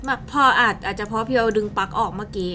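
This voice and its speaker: A woman's voice: medium pitch, quick pace, fairly bright tone, slight background noise. A young adult woman from Thailand hosting a game stream with casual, chatty, informal commentary. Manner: chatty and informal, exclaiming in play. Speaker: game channel host. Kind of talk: casual live gameplay commentary. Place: indoor streaming setup at a desk.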